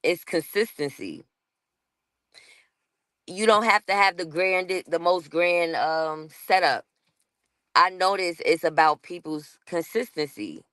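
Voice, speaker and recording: A woman's voice: lowish voice, slow pace, long pauses, a bit bright, dry recording, studio quality. A woman with a New York accent talking casually as a guest on a panel show, laughing often and restarting her sentences. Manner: chatty, laughs often, self-interrupting.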